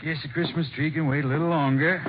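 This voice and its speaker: A man's voice: a little high, fairly even intonation, fast, muffled recording, lightly acted. Folksy small-town doctor, played by a man, noisy old recording. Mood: weary, kindly. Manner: folksy, clipped phrasing. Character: small-town Texas family doctor, dutiful, fatherly, then quietly urgent. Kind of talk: 1950s radio drama acting.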